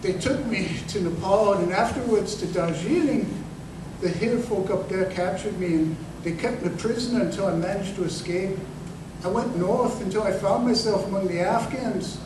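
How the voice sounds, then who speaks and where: A man's voice: high voice, lightly acted. Man acting aging crippled veteran, bitter and humble, rustic storyteller, old-time radio style, echoey room, noisy recording. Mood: grim, recounting. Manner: halting, rustic grammar, impassioned storytelling. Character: aging, crippled, humble ex-soldier turned wandering conjurer, bitter and wistful. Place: live performance in a museum. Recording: roomy, distant, noisy live stage recording.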